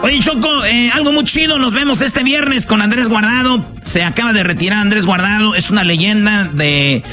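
Bright upbeat male radio host. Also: sits high, normal speed, faint music behind. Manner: upbeat, announcing. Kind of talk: on-air event promo.

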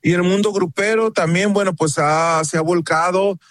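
A man's voice: high-pitched, steady tone, normal speed, a bit bright, slight background noise. A man with a Mexican accent presenting news on a radio show in a chatty, gossipy, colloquial way.

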